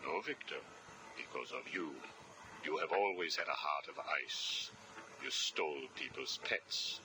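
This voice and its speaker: A smug villain psychiatrist in a video game. His voice is extremely deep yet swoops expressively as he probes, taunts and accuses with clinical coldness. The audio log has clear noise. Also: full character voice. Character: smug, sinister male interrogating psychiatrist villain, coldly accusatory. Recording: noisy interview audio log.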